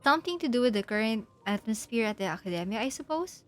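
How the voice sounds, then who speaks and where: A woman's voice: on the high side, expressive, fast talker, fairly bright tone, soft background music. A young woman giving casual live reactions as a game streamer.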